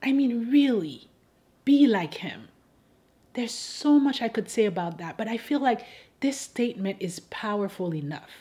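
A woman's voice: fairly high pitch, expressive, a little slow, warm tone. Wry, pointed woman giving commentary. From Haiti. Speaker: host of an advocacy video. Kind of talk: scripted persuasive commentary addressed to camera.

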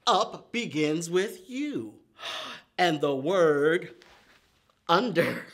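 A man's voice: a little high, expressive, drawn-out words, a bit bright. A middle-aged man hosting preschool storytime, teaching with exaggerated, simple, repetitive phrasing for small children. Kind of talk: preschool lesson with chants and counting rhymes. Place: indoor storytime room with painted paper backdrop.

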